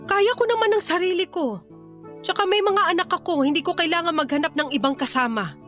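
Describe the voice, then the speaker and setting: A woman's voice: very high voice, a little fast, fairly bright tone. A woman with a Filipino accent playing an exasperated, loyal middle-aged mother in a radio drama, firm and scolding. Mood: firm reproach. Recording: music behind the voice.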